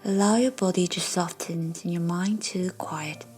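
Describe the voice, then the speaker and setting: A woman narrating a guided meditation in a soft, breathy, soothing way, as a meditation guide. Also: on the low side, unhurried. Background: soft background music.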